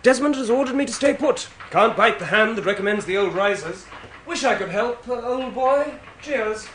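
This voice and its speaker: An actor playing a breezy, cheeky English ledger clerk in a radio comedy drama, talking in a jaunty, mock-formal way. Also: male voice, very high voice, animated, normal speed, background noise. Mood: mock-regretful.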